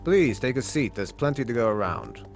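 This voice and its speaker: A man playing a formal, grandiose host of a villains' gathering in a Minecraft roleplay, with measured theatrical phrasing. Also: mid-range voice, big pitch swings, gravelly, faint music behind. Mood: hospitable.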